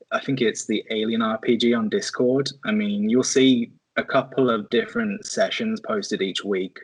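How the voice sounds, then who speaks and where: A man's voice: on the low side, slightly rough, dry recording, poor audio. A man talking casually as a guest in a podcast discussion.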